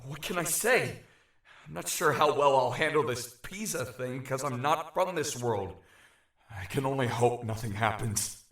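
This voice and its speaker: A nervous young outsider from another realm, thinking aloud in an audio drama. His voice is mid-pitched, echoey and set back from the mic, hesitant and wary. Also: animated, a little slow, a bit bright. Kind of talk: inner monologue in audio drama. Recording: echoey, distant-sounding inner-voice treatment.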